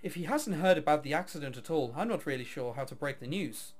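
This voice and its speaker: A man reading visual novel text aloud with casual commentary, in an expressive, dramatic way with wry asides. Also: a little high, fast talker, soft background music.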